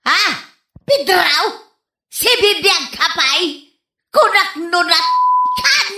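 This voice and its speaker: Woman acting high, excitable Ilocano wife. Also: drawn-out words, heavily acted. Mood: loud alarm. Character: excitable, nagging Ilocano wife in a household comedy. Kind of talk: Ilocano comedy radio drama acting. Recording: roomy, echoey radio drama recording.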